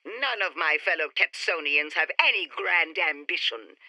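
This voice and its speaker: A coolly ambitious alien scientist from a retro radio serial. The delivery is formal, precise and self-important, in a piercingly bright, lively voice with a dry, close sound. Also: female voice, low voice, unhurried, no echo, exaggerated voice. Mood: confident, persuasive. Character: self-assured, ambitious female alien inventor prodigy, coolly scheming. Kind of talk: retro sci-fi radio play acting.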